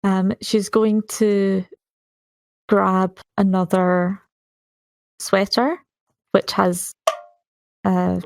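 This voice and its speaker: A woman's voice: medium pitch, flat delivery, extremely slow, gravelly, pauses often. A woman playing a tabletop game, casually chatting and describing what her character does.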